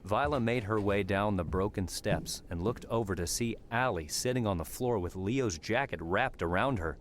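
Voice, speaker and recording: Very deep-voiced male audiobook narrator. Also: moderate pace. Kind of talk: scripted story narration. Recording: clearly noisy background.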